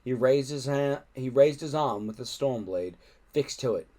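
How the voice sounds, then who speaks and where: A man narrating a children's adventure story aloud in an expressive storytelling style. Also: medium pitch, moderate pace. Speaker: storybook reader.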